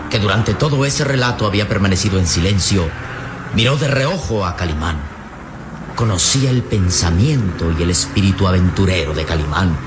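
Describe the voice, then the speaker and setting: A man's voice: lowish voice, noisy background. A man narrating a radio drama in a formal, declamatory, scripted style.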